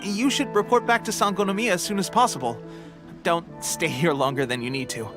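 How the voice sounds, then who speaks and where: A man's voice: sits high, brisk, lightly acted. A man playing an earnest, brave but ailing young resistance soldier in a video game. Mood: brisk, concerned. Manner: earnest, breaking off to cough. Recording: game music behind voice.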